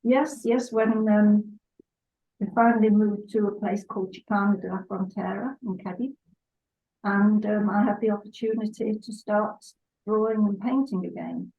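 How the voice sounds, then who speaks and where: Older woman, mid-pitched, hesitant, soft-spoken, muffled lo-fi sound. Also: fairly even intonation, moderate pace, vocal fry. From Salford, England. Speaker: guest artist. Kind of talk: relaxed interview chat. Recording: dull lo-fi sound.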